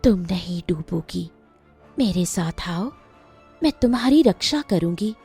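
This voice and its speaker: Woman voicing a slow, sorrowful young ghost queen. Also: lowish voice, animated, dark tone, husky, soft background music, heavily acted. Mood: soothing, inviting.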